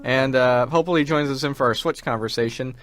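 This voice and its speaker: A young man hosting a college radio show, chatting casually and slangily, with animated storytelling. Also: medium pitch, fast. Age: young adult. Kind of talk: casual chat.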